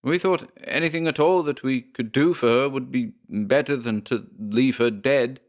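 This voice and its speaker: A man's voice: medium pitch, moderate pace, voice pushed up, close to an everyday voice. A man voicing a defensive young male friend. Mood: pleading.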